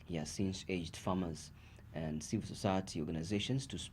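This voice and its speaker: A man's voice: low voice, fairly quick, darkish tone, slight background noise. A man with a Zambian accent reading a scripted news bulletin. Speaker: news reader.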